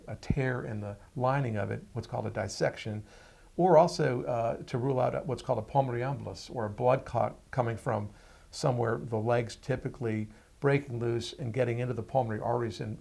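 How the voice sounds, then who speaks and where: Male interview guest, mature physician with noticeable vocal fry, explaining clearly in everyday terms. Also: medium pitch, darkish tone.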